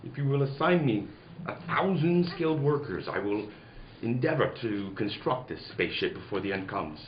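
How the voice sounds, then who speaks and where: A man's voice: medium pitch, lively intonation, normal speed, close to an everyday voice. A man playing a grave, pompous alien scientist warning of doom in the declamatory style of a 1940s radio serial, sometimes stumbling over the script. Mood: earnest. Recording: roomy, muffled, some background noise.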